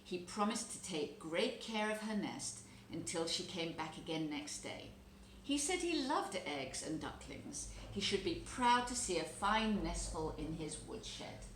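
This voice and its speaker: A woman reading a children's story aloud as an expressive narrator. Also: lowish voice, unhurried. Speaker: storybook reader. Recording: roomy echo, background noise.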